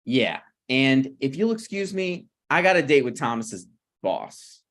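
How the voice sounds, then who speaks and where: A man's voice: medium pitch, big pitch swings, unhurried, fairly bright tone. A man reading the part of a flippant, needling, self-deprecating screenwriter in a conversational comedy table read. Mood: exasperated.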